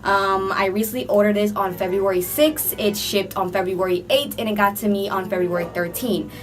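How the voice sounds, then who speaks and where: A woman's voice: medium pitch, steady tone, normal speed. A young woman doing a casual makeup tutorial, chatty and rambling with lots of "like", a little under the weather with a cold. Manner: chatty and rambling, heavy use of "like". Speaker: beauty vlogger. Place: indoor room under hot filming lights. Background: background music.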